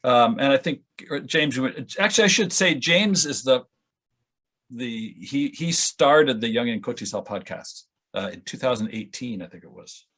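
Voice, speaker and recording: A man explaining audio editing step by step in an informal, halting way during an online session. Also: medium pitch, animated, fairly bright tone. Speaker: audio editor demonstrating at a conference session. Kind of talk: informal software tutorial. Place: online video call with screen sharing.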